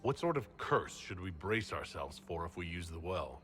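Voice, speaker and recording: Low, lively questioning fantasy hero. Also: male voice, moderate pace, soft background music. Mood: curious, sceptical. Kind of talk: video game dialogue acting.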